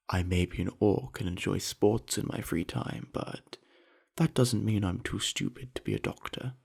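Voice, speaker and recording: Friendly orc student athlete, male voice actor, ASMR roleplay: husky, creaky, slow, sincere and chatty. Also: on the low side, darkish tone, full character voice. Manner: easygoing, chatty, earnest, with musing pauses. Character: friendly, earnest, sweaty young male orc university jock. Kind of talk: scripted ASMR roleplay addressed to the listener.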